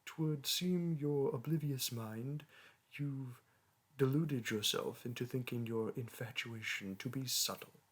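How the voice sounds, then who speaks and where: A haughty young dragon who teaches human students, acted out by a man for a roleplay audio. He has a medium-pitched male voice and speaks in lofty, archaic language. His manner is pompous and disdainful, treating the listener as a lesser creature. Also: slow, husky. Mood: dry condescension.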